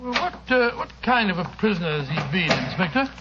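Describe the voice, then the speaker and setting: An actor playing a courteous British gentleman, a detective's loyal companion and narrator, in an old radio drama. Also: high voice, big pitch swings, brisk, noisy background. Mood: courteous, concerned. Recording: muffled old radio broadcast.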